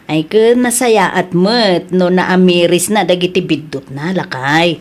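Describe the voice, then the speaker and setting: A woman playing a lively, friendly young village wife in an Ilocano radio drama, expressive, with a Filipino Ilocano accent. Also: on the low side, unhurried, natural voice. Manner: animated, expressive.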